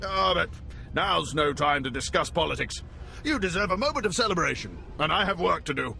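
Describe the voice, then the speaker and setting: A man's voice: a little high, animated, a little fast, gravelly, bright, forceful tone, soft background music, exaggerated voice. A man playing a genial, self-satisfied political associate in a video game. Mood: smug, congratulatory. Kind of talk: scripted video game acting.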